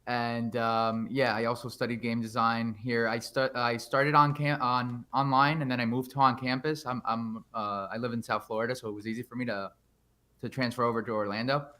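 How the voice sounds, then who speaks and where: Young guy from Spain, bright forceful tone, earnest, trips over words. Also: mid-range voice, steady tone, moderate pace. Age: young adult. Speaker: guest game developer. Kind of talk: casual interview.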